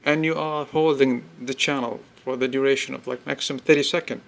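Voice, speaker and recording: A man presenting an informal product demonstration, explaining step by step in halting phrases and repeating himself. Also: medium pitch, steady tone, creaky, a bit bright. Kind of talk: informal spoken product demo.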